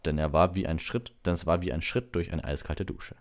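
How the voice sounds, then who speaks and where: A young adult man in a relaxed style, reading a bedtime story or chatting casually as a livestreamer. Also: sits low, fairly quick. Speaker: audiobook reader and livestreamer. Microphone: ordinary plugged-in microphone.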